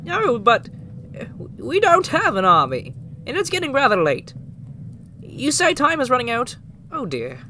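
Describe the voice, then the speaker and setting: An actor playing a timid, gentle toy lord, a jack-in-the-box, in a polite, hesitant, theatrical manner for an audio drama. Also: sits high, huge pitch swings, a little slow, a little hiss or hum, exaggerated voice. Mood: flustered, devoted. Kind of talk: amateur audio drama acting.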